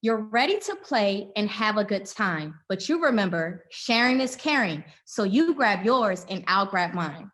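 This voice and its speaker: A woman's voice: medium pitch, sing-song, a little slow, few pauses, a bit bright. Woman guest reader, mid-range voice, for a children's bedtime book. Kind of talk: reading a children's picture book.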